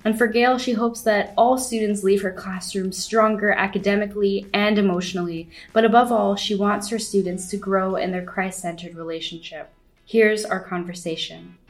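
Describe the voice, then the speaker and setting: A woman's voice: medium pitch, steady tone, normal speed. A woman hosting an interview, introducing her guest and asking questions in a friendly, encouraging way. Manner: friendly, encouraging, with many backchannel affirmations. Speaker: interview host.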